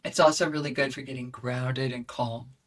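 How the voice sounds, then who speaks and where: A woman voice coach explaining her ideas in an animated, conversational way and breaking into demonstration sounds. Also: very deep voice, normal speed. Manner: expressive, demonstrates voices with yawns, howls and shouts. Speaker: voice coach guest.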